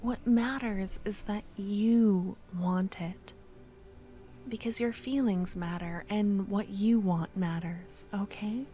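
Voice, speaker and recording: A woman playing a shy, excitable, tender young friend in love in a soft-spoken ASMR audio roleplay, breathy and often near a whisper. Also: medium pitch, takes it slowly, natural voice. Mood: soothing, hushed.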